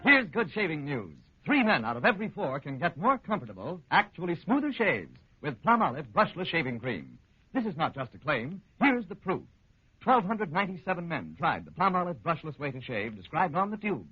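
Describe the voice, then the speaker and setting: An American male announcer gives an emphatic 1940s radio sales pitch. His voice is slightly high and rough-edged. It sounds like a dull, band-limited old broadcast. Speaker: commercial announcer. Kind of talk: scripted 1940s radio commercial.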